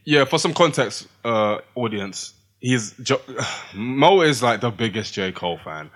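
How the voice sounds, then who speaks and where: A young man co-hosting a podcast, challenging and joking in a casual chat. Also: mid-range voice. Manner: quick, lively, challenging. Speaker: podcast co-host.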